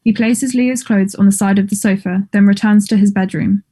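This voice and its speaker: A woman's voice: mid-range voice, fairly even intonation, normal speed, mellow. A woman reading stage directions as scripted narration at a table read of a play.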